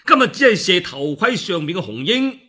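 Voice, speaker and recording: A man's voice: high-pitched, sing-song, moderate pace, sharp tone. A man with a Cantonese accent, a radio storyteller narrating a classical war tale theatrically, with exclamations and spoken sound effects.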